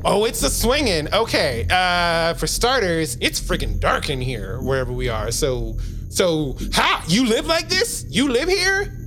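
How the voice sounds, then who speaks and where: A woman's voice: on the low side, sing-song, measured pace, a bit bright, soft background music. A woman playing a cocky, clumsy, charming young space traveler in a comic sci-fi audio drama, slangy and stammering with bravado. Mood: cocky, flustered.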